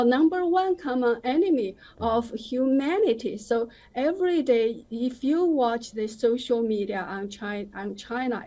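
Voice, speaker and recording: A woman giving opinionated commentary as a guest in a live news interview, with halting, hesitant phrasing. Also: a little high, warm tone.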